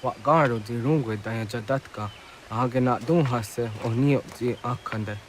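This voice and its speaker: An earnest young villager in a video game, played by a man. His voice is mid-pitched, and faint outdoor sound sits behind it. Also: moderate pace, a little hiss or hum, natural voice. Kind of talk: scripted video game cutscene acting. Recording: faint outdoor nature ambience.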